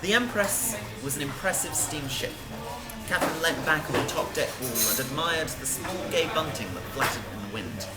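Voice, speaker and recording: A woman's voice: deep voice, expressive, moderate pace, low-quality recording. A woman reading a scripted story aloud as a narrator, with music behind her. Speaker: reader.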